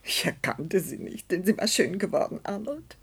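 An actress playing a grieving middle-aged mother in a radio drama, rapt and dreamy, with a breathy, half-whispered voice. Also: fairly low pitch, very animated, moderate pace, exaggerated voice. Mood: entranced, whispering. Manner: rapt, fervent, faltering. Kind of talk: German radio drama acting.

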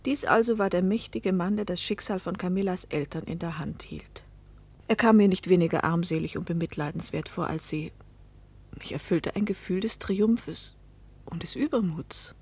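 An actress playing a young woman who narrates her own story in a radio play, reflective and measured. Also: lowish voice, darkish tone, breathy edge.

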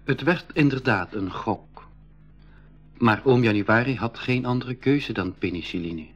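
Man acting a slightly husky young adventurer. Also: mid-range voice, a little slow, lo-fi sound, faint music behind, lightly acted. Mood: reflective narrating. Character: Piet, curious young boy adventurer who also narrates. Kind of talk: 1980s school radio drama acting and narration.

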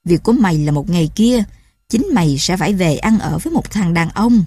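A woman reading a storybook voices a quick-tempered fisherman father who scolds his wife and daughter in a loud, raised voice. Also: fairly low pitch, animated, breathy edge, dry recording, studio quality. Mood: angry scolding.

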